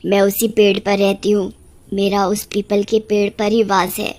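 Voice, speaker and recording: A woman voicing a small witch ghost in a cartoon story, sympathetic and quietly vengeful, speaking in a measured, reassuring way. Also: medium pitch, monotone, voice pushed down, lightly acted. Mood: sad, confiding. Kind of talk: animated cartoon story dialogue.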